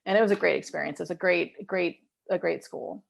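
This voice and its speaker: Interview guest: American woman, 50s, low register with vocal fry, conversational and explanatory. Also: fairly quick. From Miami, United States. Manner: talkative, explanatory, informal with frequent "like" and "you know".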